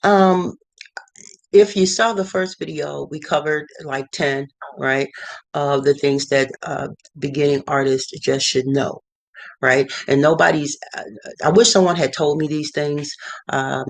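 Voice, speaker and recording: Middle-aged artist vlogging, mid-pitched voice, rambling with fillers, dry studio sound. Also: steady tone, measured pace, vocal fry, dry recording. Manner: rambling, many fillers and restarts. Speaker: visual artist and art consultant. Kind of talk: casual talking-head advice. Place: cluttered home studio.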